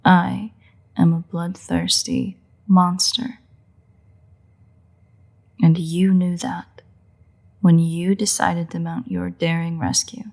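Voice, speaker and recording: A woman playing a playful, sardonic, bloodthirsty but secretly caring vampire in a roleplay audio drama, with wry, husky, often breathy delivery. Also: on the low side, darkish tone, exaggerated voice. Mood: taunting, defiant. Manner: wry, teasing, unhurried delivery with pauses.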